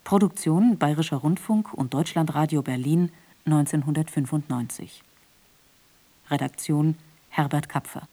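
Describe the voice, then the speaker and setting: A woman announces credits for radio. Her voice is lowish and mellow. She reads formally from a script at a very unhurried pace.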